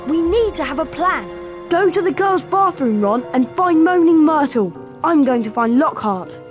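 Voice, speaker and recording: A woman's voice: high voice, expressive, normal speed, faint music behind. A woman voicing an earnest, determined schoolboy wizard of about twelve in a video game.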